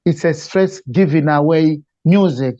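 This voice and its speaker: A Malawian man with a fairly high, very mellow voice gives conversational interview answers. His accent is Malawian. The recording is very dry, with a muffled, lo-fi sound. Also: measured pace, no room sound. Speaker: interview guest, reggae singer.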